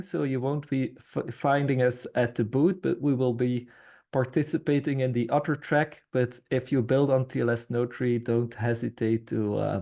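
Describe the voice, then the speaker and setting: A man from Belgium whose first language is Dutch gives an informal project introduction. His voice is mid-pitched and very mellow, with plenty of creak in it. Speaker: project team member presenting.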